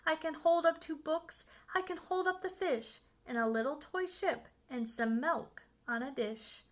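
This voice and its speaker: A woman's voice: high-pitched, unhurried. A woman voicing a playful, boastful showman cat in a children's storybook, in a showy, coaxing, exclamatory way. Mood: excited, showing off. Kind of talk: storybook read-aloud.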